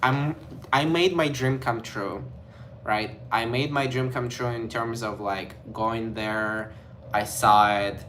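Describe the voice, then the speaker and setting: A man's voice: medium pitch, fairly even intonation, takes it slowly, fairly bright tone, slight background noise. A young man from Ukraine with a Ukrainian accent, chatting casually to camera in a vlog, rambling and filling his talk with "like" and "you know". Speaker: vlogger.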